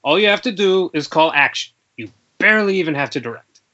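A man's voice: fairly high pitch, animated, brisk, noisy background, natural voice. A man playing an exasperated, scolding film crew member in a screenplay table read over a dull internet call. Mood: coaxing.

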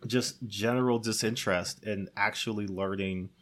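A man's voice: on the low side, steady tone, normal speed, a bit bright. A man casually discussing a film, with exasperated emphasis and some swearing, often amused as he talks. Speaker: podcast co-host.

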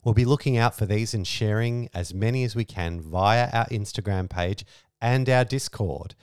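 A man presents a podcast in scripted narration. His voice sits on the low side and is smooth and clean. The recording is dry, with no room around it. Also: normal speed, no room sound. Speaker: podcast host.

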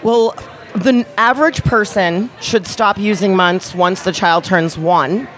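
A woman's voice: medium pitch, unhurried, a bit bright, poor audio. A woman from New York City chatting as a guest in a café with dry, wry remarks. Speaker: guest comedian. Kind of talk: casual chat.

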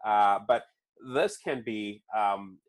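A man's voice: fairly low pitch, lively intonation, takes it slowly. Man with a foreign accent, asking questions. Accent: non-native English accent. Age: young kids, adult. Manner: frequent restarts and "right?" tags. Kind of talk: conversational interview.

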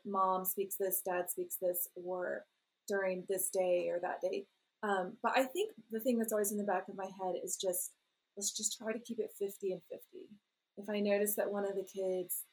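A woman's voice: mid-range voice, poor audio. Woman in her 30s rambling about family life, laughs at herself a lot. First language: English. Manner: rambling, self-deprecating, laughs often. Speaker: guest, parent and blogger. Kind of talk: relaxed conversational interview.

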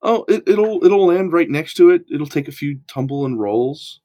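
A man's voice: a little high, lively intonation, moderate pace, dark tone. A man as game master, describing scenes and ruling on rolls. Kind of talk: narrating a tabletop game.

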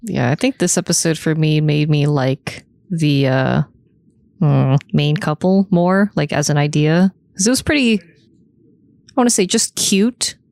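A woman's voice: sits low, unhurried, gravelly, darkish tone, dry recording, clean studio sound. A woman co-hosting a podcast, giving her opinions on anime in an informal, chatty way. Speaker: podcast co-host. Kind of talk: casual anime discussion.

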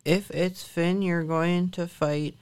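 A woman acting a shrewd, calm giant's wife in a radio drama, coaxing and unflappable. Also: fairly low pitch, takes it slowly, clean tone. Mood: sly.

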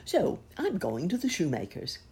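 A woman reading aloud and voicing a polite, kindly old rabbit gentleman in a gentle manner. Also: on the low side, huge pitch swings, normal speed, gravelly, husky, voice pushed up, exaggerated voice.

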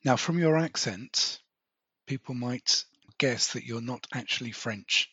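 A man hosting a podcast interview who asks relaxed follow-up questions and sums up what he hears. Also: mid-range voice, a little slow, slightly rough, no echo, dull audio. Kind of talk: casual conversational interview.

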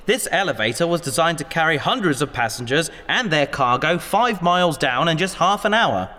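Man playing a prim, bright-voiced expedition scientist. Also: fairly high pitch, animated, moderate pace. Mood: pleased, instructive. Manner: polite, precise, gently admonishing. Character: prim, earnest, squeamish male expedition scientist, patient. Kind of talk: sci-fi audio drama acting. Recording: long hall-like reverb.

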